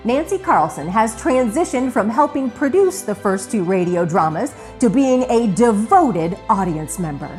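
A woman's voice: on the high side, sing-song, moderate pace, hardly any pauses, a little mellow, faint music behind. A woman reading scripted narration as the narrator of a radio retrospective.

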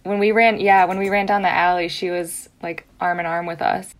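Young American woman, a little low in pitch, informal recollection. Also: level tone, normal speed. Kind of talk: casual recollection.

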